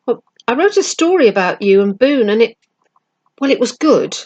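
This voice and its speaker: A woman voicing an earnest, gentle schoolboy who speaks hesitantly in a storybook reading. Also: a little high, big pitch swings, normal speed, voice pushed up.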